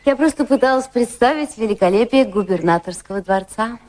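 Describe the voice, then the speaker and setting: Actress as a poised high-voiced society widow. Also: female voice, expressive, a little fast, a little mellow, natural voice. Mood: poised, amused. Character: poised thirty-year-old widow of English high society, gently teasing. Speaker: actress and narrator in radio play. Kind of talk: Russian radio drama acting.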